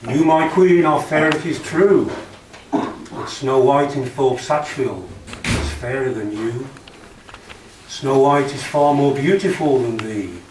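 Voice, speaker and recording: A man playing a solemn, truthful magic mirror in an amateur pantomime, declaiming formally and with measured weight. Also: mid-range voice, natural voice. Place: live amateur stage in a hall. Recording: echoey hall, distant mic, clear noise.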